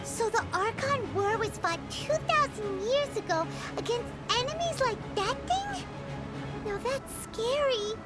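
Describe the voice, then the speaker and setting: Casting: tiny excitable fairy sidekick, very high squeaky child voice, easily scared, video game, music behind. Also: female voice, a little slow. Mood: curious, anxious. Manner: talks about self in third person. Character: small childlike fairy girl companion, excitable and easily frightened. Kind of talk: English video game voice acting.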